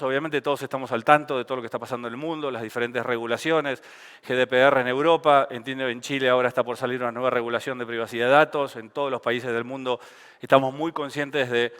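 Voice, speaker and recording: A man's voice: mid-range voice, fairly bright tone, clean tone. A man with an Argentine accent giving a casual, joking conference talk on stage. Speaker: conference speaker. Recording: reverberant event hall.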